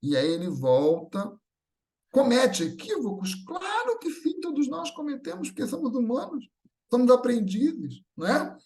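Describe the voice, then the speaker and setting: A Brazilian man speaks in a notably high voice, teaching at a spiritual gathering. He explains in a didactic, semi-improvised way, repeating phrases for emphasis and checking in with short tag questions. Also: huge pitch swings, normal speed, darkish tone. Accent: Brazilian Portuguese.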